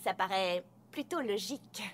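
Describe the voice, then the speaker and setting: A woman's voice: medium pitch, lively intonation, normal speed, natural voice. A woman playing a level-headed, bookish young female pony who reasons in an articulate, skeptical way in a cartoon dub. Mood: skeptical, exasperated. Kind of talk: animated cartoon dialogue dub.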